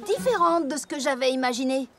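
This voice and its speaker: A woman's voice: high-pitched, expressive, fairly quick. A woman voicing a friendly young female pony in a French-language cartoon dub, informal and straightforward. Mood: frank.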